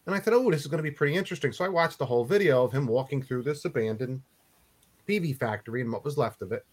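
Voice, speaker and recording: A man co-hosting a casual chat show, telling stories in an animated way and laughing often. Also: medium pitch, fast. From Philadelphia area. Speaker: co-host.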